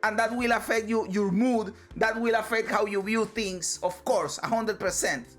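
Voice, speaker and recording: A woman's voice: medium pitch, fairly even intonation, moderate pace, faint music behind. A woman from Venezuela, giving a casual motivational monologue, emphatic, swearing freely and repeating phrases. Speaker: vlogger.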